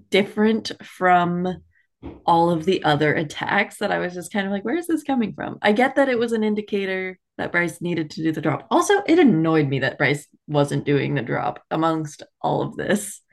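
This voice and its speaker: Woman with lowish voice, wry chat. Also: lively intonation, moderate pace. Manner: informal, wry, frequent "like". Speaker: book podcast co-host. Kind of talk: casual opinionated chat.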